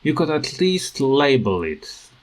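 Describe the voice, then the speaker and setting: A man in a casual rant over game footage, speaking with halting, non-native phrasing as a gaming video creator. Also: mid-range voice, big pitch swings.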